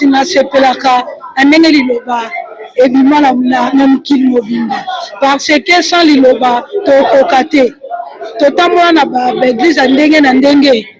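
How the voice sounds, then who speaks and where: Very high-voiced Congolese woman testifying. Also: low-quality recording. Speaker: church member giving a testimony. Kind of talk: spontaneous testimony mixing languages. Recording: live church, crowd audible behind.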